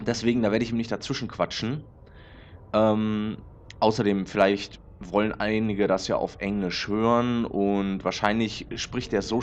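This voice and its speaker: A man hosting a let's play in casual, rambling commentary with many filler sounds, his voice slightly hoarse from a cold. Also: fairly low pitch. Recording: dull lo-fi mic with faint noise.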